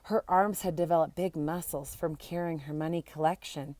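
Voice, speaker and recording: A woman reading a children's book aloud in an expressive, animated storytelling style. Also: sits low, moderate pace, no echo. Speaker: amateur storybook reader.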